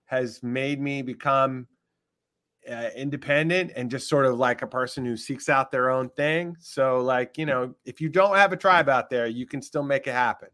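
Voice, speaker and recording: A middle-aged man from North Carolina, a composer guest, telling stories in a relaxed, easygoing podcast chat. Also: medium pitch, moderate pace. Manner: relaxed, storytelling, laughs easily. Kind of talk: casual podcast interview.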